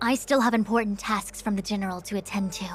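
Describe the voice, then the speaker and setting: A woman playing a composed, self-assured young diviner in a video game, speaking in a formal, measured, authoritative way. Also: medium pitch, steady tone, slight background noise, natural voice. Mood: grave, businesslike. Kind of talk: scripted video game dialogue.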